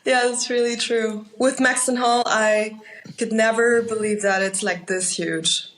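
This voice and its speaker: A woman's voice: fairly high pitch, fairly even intonation, measured pace, a bit bright, slight background noise, rough recording. A woman from Austria whose first language is Mandarin, speaking casually as an actress being interviewed.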